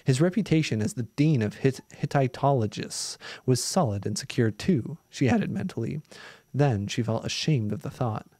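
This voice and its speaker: A man's voice: normal speed, dry recording. Man reading a story aloud, dry close mic. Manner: steady reading, lifts his pitch for the woman's lines. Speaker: narrator reading a science fiction story aloud. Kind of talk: live reading of a novella, doing dialogue lightly. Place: small room with green screen, indoors. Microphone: studio mic on boom arm close to mouth.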